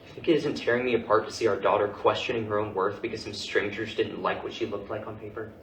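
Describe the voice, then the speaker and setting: A man acting a weary, defensive husband and father, quick to anger, in a staged play reading with an exasperated delivery. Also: lowish voice, fairly even intonation, fairly bright tone. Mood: hurt, subdued. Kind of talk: staged play reading, naturalistic dramatic dialogue. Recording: distant, echoey room with faint noise.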